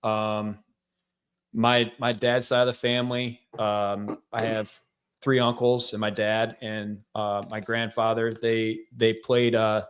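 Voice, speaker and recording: Relaxed Michigan-accented man telling his story. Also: lowish voice, takes it slowly, clear, resonant tone. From central Michigan. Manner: relaxed, rambling, frequent fillers. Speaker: guest. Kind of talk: casual interview storytelling.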